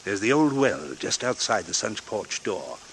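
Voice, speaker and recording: A man playing a cold, murderous father in an old radio drama, giving measured, commanding threats. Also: lowish voice, very animated, a bit bright, full character voice. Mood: quiet menace. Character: cold, resourceful murderous father coolly blackmailing at gunpoint. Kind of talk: 1940s radio acting. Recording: noisy vintage radio drama recording.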